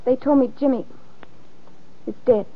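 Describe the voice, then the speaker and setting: A woman's voice: high voice, a little slow. An actress playing an anxious, earnest married woman in a 1940s radio drama, with a hesitant manner. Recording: muffled lo-fi old radio recording.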